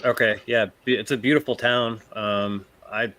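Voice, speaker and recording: A man's voice: mid-range voice, fairly even intonation, moderate pace, sharp tone, a little hiss or hum. A man with an American accent in casual conversation on a gaming panel. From United States. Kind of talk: casual chat.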